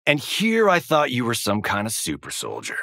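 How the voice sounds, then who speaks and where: An actor with an American accent playing a cocky, wisecracking Hollywood action star who fights in a video game, delivering swaggering, sarcastic one-liners. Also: male voice, mid-range voice, wildly swooping pitch, normal speed, bright, forceful tone, no room sound, exaggerated voice. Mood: sarcastic, smug.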